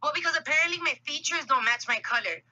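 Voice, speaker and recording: A woman's voice: sits high, quick pace, bright, forceful tone, dull audio. A woman from Miami speaking earnestly and passionately as a guest in a radio interview. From Miami, USA. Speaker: guest artist.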